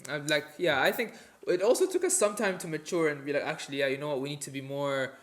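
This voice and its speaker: A man's voice: fairly high pitch, quick pace. A young man co-hosting a podcast, chatting casually in an earnest, reflective way. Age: young adult. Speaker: podcast co-host. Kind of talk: casual sibling podcast chat.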